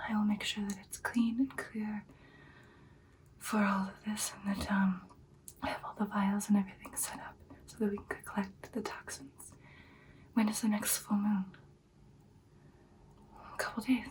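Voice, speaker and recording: Whispered ASMR roleplay: woman as an ancient, self-assured vampire girlfriend, breathy, intimate, slightly distant from the mic over faint hiss. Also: medium pitch, close to an everyday voice. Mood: soft, businesslike. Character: ancient confident female vampire girlfriend, expert sniper.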